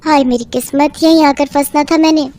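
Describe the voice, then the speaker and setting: A woman's voice: high-pitched, steady tone, fairly quick, dark tone, close to an everyday voice. A woman voicing an overworked, resentful young daughter-in-law who grumbles in a whiny way. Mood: resentful grumbling. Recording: background music under the voice.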